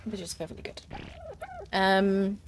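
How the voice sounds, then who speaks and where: A woman's voice: medium pitch, flat delivery, moderate pace, a little hiss or hum. A woman streaming a survival game, chatting as she plays, thinking aloud with fillers and answering her viewers' comments. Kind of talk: live gameplay commentary.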